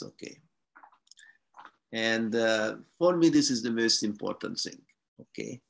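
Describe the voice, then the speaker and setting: A man in his fifties, a native Hungarian speaker, talking slowly with many pauses and fillers on a video call from a room at home. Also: mid-range voice, gravelly, fairly bright tone. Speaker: guest scientist. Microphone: video call webcam.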